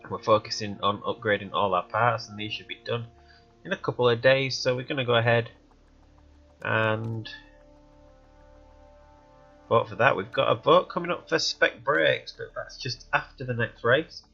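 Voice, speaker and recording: Gravelly, sniffly man commentating a game. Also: medium pitch, steady tone, moderate pace, long pauses. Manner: rambling, thinking aloud while playing. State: stuffy, sniffly with a cold. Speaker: let's play gamer. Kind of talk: casual gameplay commentary. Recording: dull lo-fi recording, faint music behind.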